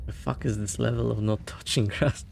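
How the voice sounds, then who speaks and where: A man's voice: medium pitch, fairly even intonation, moderate pace, creaky, a little mellow, soft background music. A man from Poland, a streamer giving casual, offhand commentary while playing a game.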